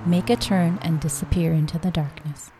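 Woman reading an audiobook out loud, low soft-edged mellow voice, bit of music under it. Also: fairly even intonation, normal speed, rough recording. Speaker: audiobook reader. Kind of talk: scripted story narration, read aloud.

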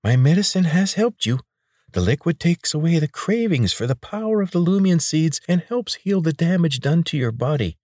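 A man voices a pudgy, oily healer from a storybook, his nasal voice squeaking high above the narrator's. He wheedles and coaxes, and his voice comes across a little breathy. The sound is dry and close. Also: expressive, moderate pace, darkish tone, no room sound. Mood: wheedling.

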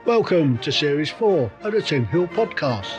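A man hosting a solo podcast, ranting with indignant rhetorical questions and halting restarts, his voice a little croaky from a cold. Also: on the high side, big pitch swings, dull audio, loud music behind the voice. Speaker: podcast host. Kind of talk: solo opinion rant.

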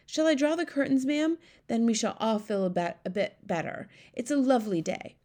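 A woman's voice: on the high side, lively intonation, normal speed, mellow, pitched above the performer's own voice, natural voice. A woman voicing a middle-aged royal maid in a storybook, deferential, flustered and prone to stammering. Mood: fussing. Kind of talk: storybook character voice.

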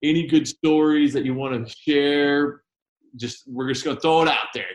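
A man's voice: fairly high pitch, fairly even intonation, moderate pace, poor audio. A man hosting a casual studio conversation, speaking loosely and rambling, with joking asides. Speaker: host.

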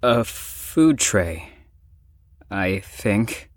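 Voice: low voice, very animated, drawn-out words, dry recording, clean studio sound, exaggerated voice. Drawling, flippant, exhausted young patient. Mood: sarcastic, evasive. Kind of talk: audio drama acting.

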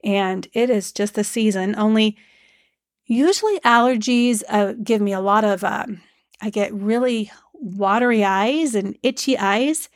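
A woman with a stuffed-up nose hosting a devotional hymn podcast, speaking in a gentle, teacherly way with frequent fillers. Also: medium pitch, measured pace, no echo, studio quality. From Iowa, USA. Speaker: podcast host.

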